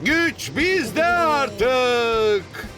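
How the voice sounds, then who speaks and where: A man plays a bossy cartoon superhero dog with a high, swooping voice. The delivery is bold, commanding and exclamatory, with music running underneath. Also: expressive, drawn-out words, full character voice. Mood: triumphant, eager.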